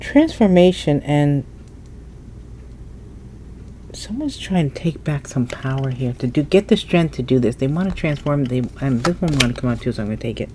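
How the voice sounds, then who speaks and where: Woman, stuffy nose, low voice, casual tarot reading, thinking aloud. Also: expressive, moderate pace, warm tone, a little hiss or hum. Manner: musing, thinking aloud while turning cards. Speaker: tarot reader. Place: indoors at a table, hands only in frame.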